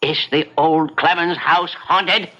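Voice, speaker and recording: A suspicious country-ish buyer in an old radio play, blustery and halting, forceful bright voice, muffled recording. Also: male voice, on the high side, fairly even intonation, full character voice. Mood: flustered, stubborn. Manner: folksy, hesitant, blustering. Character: blustering, folksy male colonial buyer, stubborn and suspicious. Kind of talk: old-time radio drama acting. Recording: dull, lo-fi radio drama recording.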